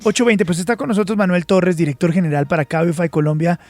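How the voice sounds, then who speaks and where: A male radio host with a fairly high voice jokes and teases his guest between questions. He sits close to a dry studio microphone, and the talk stays lively. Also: dry recording. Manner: joking, teasing, quick interjections. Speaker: radio morning show host. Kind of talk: lively radio chat and interviewing.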